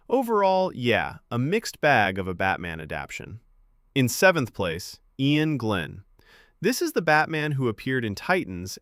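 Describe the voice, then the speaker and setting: A man's voice: mid-range voice, fairly bright tone, no room sound, studio quality. A man hosting a fan ranking video, giving enthusiastic, opinionated scripted narration. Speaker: host of a comic film ranking video.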